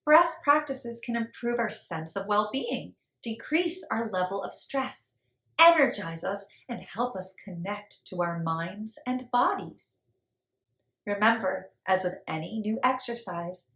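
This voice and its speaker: A woman's voice: medium pitch, fairly bright tone. A woman hosting a children's storytime, reading and talking to young listeners and their parents. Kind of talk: read-aloud explanation and friendly sign-off for children. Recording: echoey room.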